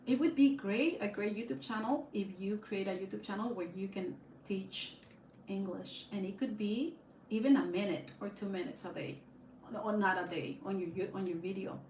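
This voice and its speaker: A woman's voice: medium pitch, moderate pace, darkish tone. A woman with a Spanish accent, chatting casually on a live stream in a rambling way full of fillers and restarts, recorded on a phone on a tripod. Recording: echoey room with faint background hiss.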